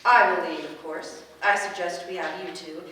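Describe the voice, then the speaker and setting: A woman's voice: lowish voice, steady tone, moderate pace, a bit bright, slight background noise, natural voice. An actress in a staged reading playing a formal, haughty woman who takes command with precise, imperious wording. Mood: brisk, commanding. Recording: very echoey room, distant mic.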